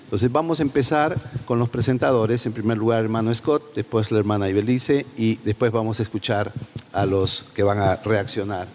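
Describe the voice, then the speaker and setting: A man's voice: lowish voice, steady tone, quick pace, darkish tone. A man moderating a conference session, announcing the speakers in a brief, procedural way. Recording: long hall reverb with faint noise.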